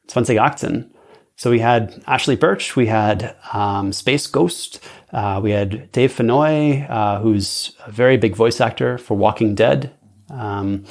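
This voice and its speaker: Male podcast guest, native English speaker, mid-pitched with a gravelly fry, casual, slips into halting German. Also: a little mellow. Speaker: podcast guest, audio drama creator. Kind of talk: casual interview chat.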